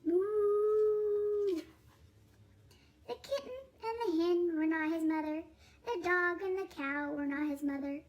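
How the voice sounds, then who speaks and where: A woman reading a children's picture book aloud in a playful, expressive way, drawing out words. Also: very high-pitched, moderate pace. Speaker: storybook reader.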